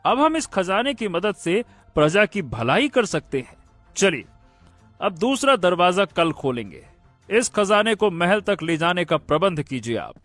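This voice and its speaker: Kind young cartoon prince, male actor: slow, theatrical delivery, high voice, excitable. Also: no echo, faint music behind, heavily acted. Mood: benevolent, decisive. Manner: theatrical, drawn-out exclamations and hums. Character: excitable kind-hearted young prince of a fairy-tale kingdom. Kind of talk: animated Hindi moral-story cartoon dialogue.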